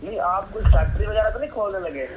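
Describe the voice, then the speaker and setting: A man's voice: high voice, quick pace. A man with a Pakistani accent working as a medicine supplier, talking on the phone in an exasperated, sarcastic way. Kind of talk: phone conversation. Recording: dull, noisy phone line.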